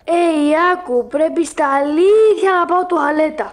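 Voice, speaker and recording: Woman as a high-pitched, nagging cartoon character. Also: slight background noise, close to an everyday voice. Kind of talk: animated cartoon fandub.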